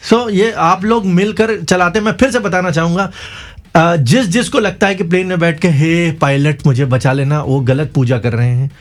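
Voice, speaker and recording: A man's voice: fairly high pitch, normal speed. A man with an Indian accent hosting a conversational interview, playful and teasing with effusive reactions. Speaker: talk show host interviewing guests. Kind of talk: conversational Hindi-English interview.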